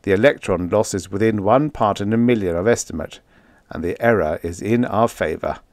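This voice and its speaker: A composed male spaceship crewman, read by a man, formal and precise. He intones reports in a fairly low voice with a dry, close sound, as in a science fiction audiobook. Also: a little fast, a little mellow, no room sound, lightly acted.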